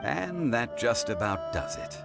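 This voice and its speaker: A man playing a calm, refined, world-weary gentleman sage in a video game, speaking in a measured, formal way. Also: mid-range voice, gravelly, over loud music. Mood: satisfied, calm.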